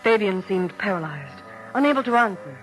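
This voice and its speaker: A woman narrating a scripted vintage radio drama in a measured, suspenseful, literary manner. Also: mid-range voice, big pitch swings, low-quality recording. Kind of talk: dramatic scripted radio storytelling, 1940s-50s. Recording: old radio broadcast, music behind.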